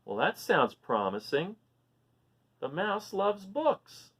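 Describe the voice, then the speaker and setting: Man voicing an eager, pleading storybook dragon, pitched up. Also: very animated, takes it slowly, a bit bright, slightly rough, exaggerated voice. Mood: hopeful. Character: excitable young male dragon pleading for a story.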